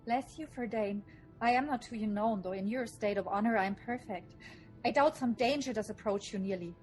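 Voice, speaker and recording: A woman's voice: on the high side, fairly quick, darkish tone, faint music behind, natural voice, poor audio. A woman playing a humble, urgent messenger giving earnest warnings in Shakespearean radio drama. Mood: urgent, worried.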